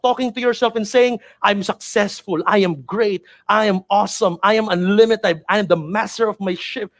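A Filipino man in his thirties with a Filipino accent, a native Tagalog speaker, giving a motivational training talk in an emphatic, repetitive, urging manner. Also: high-pitched. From Philippines. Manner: emphatic, repetitive, preacher-like urging with rhetorical questions. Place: indoor studio with virtual backdrop. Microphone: headset mic.

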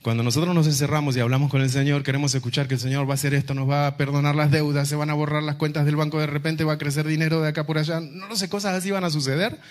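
A middle-aged man from Argentina with an Argentine accent preaches through personal stories on a handheld microphone, using rhetorical questions, pauses and repetition. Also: a little high, flat delivery. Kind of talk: sermon with personal storytelling to a congregation. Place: large indoor church auditorium, on stage.

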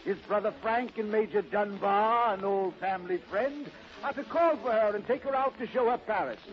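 High-pitched male vintage radio narrator. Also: moderate pace. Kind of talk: 1930s radio drama scripted narration. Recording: muffled old 1930s radio recording.

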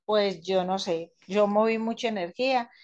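Woman explaining in a halting way. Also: medium pitch, darkish tone, muffled recording. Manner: halting, explanatory, repeats and rephrases herself. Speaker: radionics therapist demonstrating a quantum table. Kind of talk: informal spoken tutorial with personal anecdotes. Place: indoors at a table, seated.

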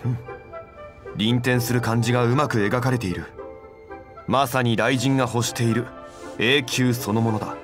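A man playing a composed, scholarly gentleman in an anime game, speaking formally and with measured dignity. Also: medium pitch, fairly even intonation, over music, natural voice. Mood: composed, admiring. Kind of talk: anime game character acting.

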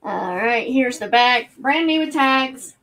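A woman in her thirties co-hosting a live online clothing auction from a cluttered room at home, calling bids briskly and describing items plainly, recorded on a webcam at her desk. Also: fairly high pitch, lively intonation, fairly bright tone.

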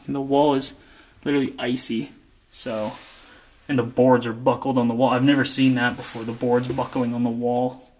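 A young man casually narrating his walk through an abandoned house, chatty and relaxed. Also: medium pitch, normal speed. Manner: relaxed, chatty, frequent "like" and laughs. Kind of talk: casual walkthrough vlog. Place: inside an empty abandoned house.

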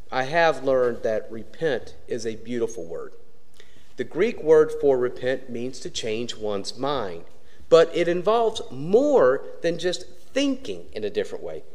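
A middle-aged man preaching at a slow, unhurried pace, his voice rising and falling widely as he tells stories from the pulpit. His words carry a long church reverb. He pauses often between phrases. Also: a little high, a little mellow. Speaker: pastor. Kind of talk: sermon. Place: church sanctuary with wooden pulpit. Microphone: pulpit microphone.

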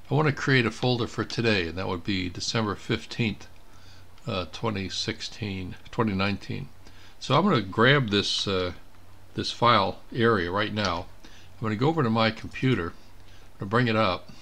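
Low-voiced man giving a software walkthrough. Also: creaky. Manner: thinks aloud while clicking through steps. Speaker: presenter of a software tutorial. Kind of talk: informal step-by-step screen demonstration. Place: indoors at a computer.

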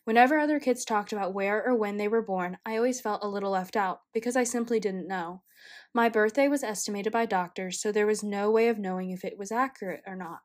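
A young woman in college, from China and raised in the United States, reading a quiet, reflective personal narration. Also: mid-range voice, fairly quick. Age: young adult. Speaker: college student.

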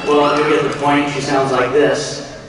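A man's voice: a little high, flat delivery, unhurried, noisy background. A middle-aged man presenting live on stage as a panel host, heard over a hall PA. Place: large auditorium stage, indoors. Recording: echoey hall PA from far off, loud crowd.

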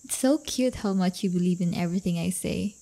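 A woman's voice: on the low side, vocal fry, mellow, low-quality recording. A woman playing a playful, crafty but affectionate teenage delinquent girlfriend in an intimate roleplay audio, teasing and coaxing. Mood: playful mocking. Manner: teasing, coaxing, drawn-out delivery.

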